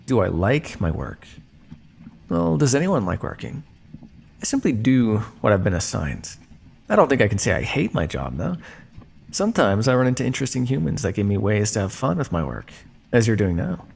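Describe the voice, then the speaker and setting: Male actor as vampire scientist captor for audio roleplay: creaky, swooping delivery, smug and theatrical, some hiss on the recording. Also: on the low side, sing-song, a little mellow. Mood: dismissive, smug. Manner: smug, measured, teasing, theatrical. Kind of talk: ASMR roleplay monologue addressed to listener.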